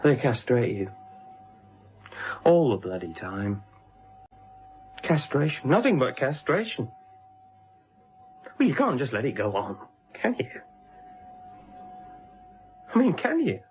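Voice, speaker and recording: A man's voice: mid-range voice, big pitch swings, takes it slowly, pauses often, muffled recording, slight background noise. An actor playing a menacing, obsessive young British policeman turned woman-hating killer in a radio drama. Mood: bitter, manic. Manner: menacing, self-justifying, obsessive.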